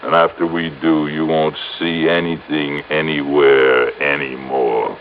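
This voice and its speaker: An actor playing a big, cocky, vengeful gangster in an old-time radio crime drama, with gruff tough-guy slang. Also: rumbling low, level tone, a little slow, phone-quality sound. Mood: threatening. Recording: noisy, thin old radio recording.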